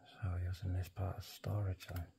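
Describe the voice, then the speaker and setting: A British man giving casual, low-key running commentary, musing aloud as he explores. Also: completely flat delivery, moderate pace, vocal fry. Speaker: urban explorer and vlogger. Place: inside a large derelict building, walking. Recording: faint background noise, indoor ambience.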